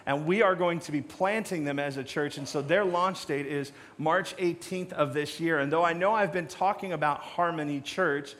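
A middle-aged American man with a slightly high voice addresses his church as its pastor. He talks informally and conversationally. He leans on anecdotes and small jokes. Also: normal speed, few pauses, fairly bright tone. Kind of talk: informal sermon talk to congregation. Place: church stage.

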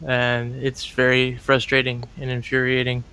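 A man's voice: medium pitch, completely flat delivery, moderate pace, sharp tone, smooth. A man in a casual conversation, making earnest, opinionated points and searching for words as he goes.

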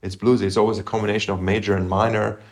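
A middle-aged man co-hosting a podcast, talking through music in a thoughtful, halting way and humming phrases to make his point. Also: fairly low pitch, level tone. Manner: thoughtful, halting, hums melodies to illustrate. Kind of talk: casual music discussion.

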